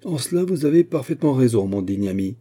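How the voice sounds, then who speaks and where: A shrewd bishop who was once a musketeer, acted out by a male narrator. He speaks solemnly and with measured authority. The voice is very dark and husky, as in a storybook adventure. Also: mid-range voice, animated, voice pushed up, lightly acted. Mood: probing, courteous.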